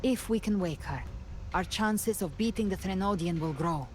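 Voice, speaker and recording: An actress playing a resolute young hero in a fantasy game, speaking calmly, firmly and earnestly. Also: female voice, mid-range voice, moderate pace, lightly acted. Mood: determined. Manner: firm, earnest. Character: resolute young female hero, calm and determined. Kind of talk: dramatic fantasy game acting.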